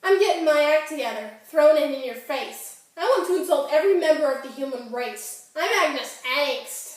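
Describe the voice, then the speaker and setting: In a very echoey room, away from the mic, a woman plays an angry, wounded teenage girl. The voice is high, sarcastic and exasperated. The delivery is melodramatic stage monologue. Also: lively intonation, moderate pace. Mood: defiant. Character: angry, wounded fifteen-year-old girl performance artist. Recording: echoey room, distant microphone.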